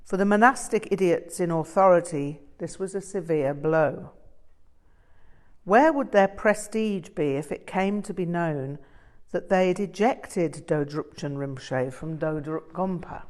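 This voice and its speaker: A woman reading a scripted story as an audiobook narrator, in a measured, deliberate way. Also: lowish voice.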